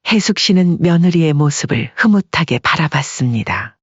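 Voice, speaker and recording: A husky-voiced narrator with a medium pitch delivers scripted story narration. The recording is very dry and a little dull. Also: lively intonation, moderate pace, no echo.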